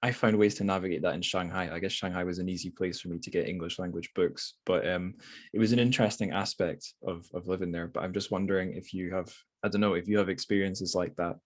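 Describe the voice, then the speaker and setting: A man with a low voice hosts a relaxed podcast conversation about books. He thinks aloud as he goes, with loose, slightly hesitant phrasing. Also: fast. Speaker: podcast host. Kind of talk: casual book discussion interview.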